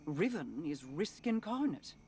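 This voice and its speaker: A woman's voice: low-pitched, very animated, measured pace, warm tone, a little hiss or hum, exaggerated voice. A woman playing a regal, stern sorceress queen in a video game, speaking formally and imperiously. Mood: stern, resolute. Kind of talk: scripted video game dialogue.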